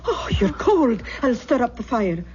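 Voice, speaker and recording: An actress playing a kindly, superstitious older Scottish aunt, homely and solicitous, in an old-time radio mystery. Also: female voice, a little high, sing-song, a little slow, a little mellow. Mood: fussing. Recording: dull, faintly noisy old radio recording.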